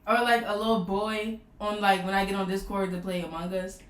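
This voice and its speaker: A woman's voice: mid-range voice, little pitch movement, brisk, slight background noise. Young woman chatting loosely in a roomy space. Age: 20s. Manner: loose, trailing off mid-thought. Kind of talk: casual chat. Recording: roomy echo.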